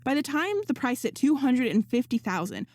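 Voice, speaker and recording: A woman reading fan fiction aloud as narrator, in an expressive audiobook style. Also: high-pitched, moderate pace, no echo. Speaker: podfic narrator.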